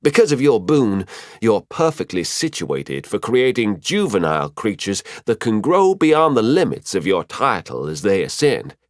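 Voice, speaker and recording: A man's voice: fairly low pitch, dry recording, studio quality. A man voicing a cheerful, teasing female spirit mentor in an audiobook, chatty and fond as she explains things. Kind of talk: audiobook character voice.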